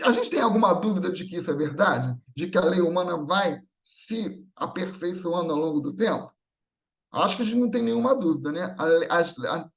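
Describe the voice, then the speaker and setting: A man with a Brazilian accent giving a conversational, explanatory religious talk, informal and often checking in with rhetorical questions. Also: high-pitched. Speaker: speaker at a Spiritist gathering.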